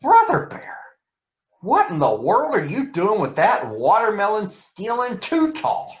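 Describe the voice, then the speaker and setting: A folksy old-school farmer bear from a children's storybook, acted by a man reading aloud. He gives wise advice emphatically, with a lively, swooping pitch. The sound is dull and roomy. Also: unhurried, full character voice. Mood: indignant surprise. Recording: dull, echoey room.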